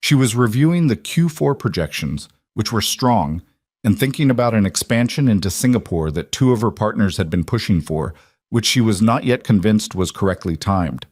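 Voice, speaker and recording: A man reading a scripted dramatic story in a measured, solemn manner. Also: fairly low pitch, animated, a little mellow, husky, no echo, clean studio sound. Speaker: narrator.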